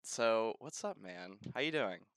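A man's voice: on the low side, lively intonation, measured pace, fairly bright tone, no echo, clean studio sound. A young man in his twenties hosting a casual interview, talking in a rambling way with many restarts, recorded on a headset mic in his bedroom. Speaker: podcast interviewer. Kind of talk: casual interview over voice call. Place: bedroom, indoors. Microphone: gaming headset mic.

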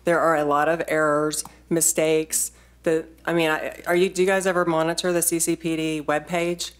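A woman in her forties speaking at a podium in a council chamber, insistent and quick to interrupt. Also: low voice, level tone, smooth. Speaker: resident speaking at a public meeting. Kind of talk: public comment at a town board meeting. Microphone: standing podium mic from a distance.

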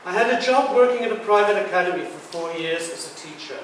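A man in his sixties gives a talk with a fairly high voice, recorded from across a very echoey room. He is chatty and jokes with the audience, and faint room noise sits underneath. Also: expressive, fast talker, fairly bright tone, distant mic. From Britain. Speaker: guest speaker. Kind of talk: public talk. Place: large echoey lecture room. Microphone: far camera mic.

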